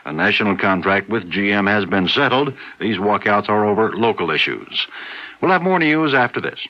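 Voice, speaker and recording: A man's voice: fairly low pitch, very animated, normal speed, background noise. An American man reporting as a network radio newsreader, delivering a scripted bulletin. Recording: dull vintage radio broadcast.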